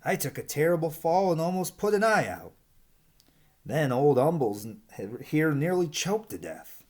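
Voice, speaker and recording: A gabby old green snake from a storybook, acted out by a man. The voice lifts higher and moves in animated swoops, reminiscing with boastful nostalgia. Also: unhurried. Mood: nostalgic.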